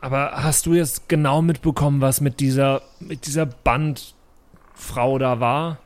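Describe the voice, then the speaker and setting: A man playing an exhausted, brooding adventurer in an improvised audio drama, speaking quietly and sullenly. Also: mid-range voice, fairly even intonation, measured pace, lightly acted. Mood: weary. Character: exhausted, brooding adventurer grieving his brother.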